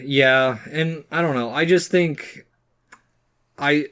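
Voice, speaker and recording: A man's voice: a little high, slow, sharp tone, clean tone. A man co-hosting a podcast, talking casually through his opinions in conversation. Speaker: podcast co-host. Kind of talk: casual movie discussion.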